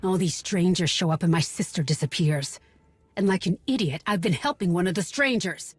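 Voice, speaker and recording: A woman's voice: lowish voice, moderate pace, husky, no room sound, soft background music. An actress playing a guarded, bitter young woman in a video game, blunt, sardonic and distrustful. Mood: suspicious, irritated. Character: guarded, bitter young local woman searching for missing sister. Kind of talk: video game cutscene acting.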